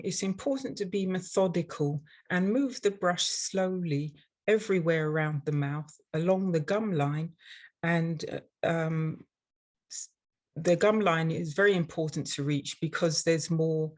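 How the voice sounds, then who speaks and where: An older woman from London with an English accent, giving a prepared webinar presentation in a measured, instructional way as a dental professional. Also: fairly low pitch. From London, England.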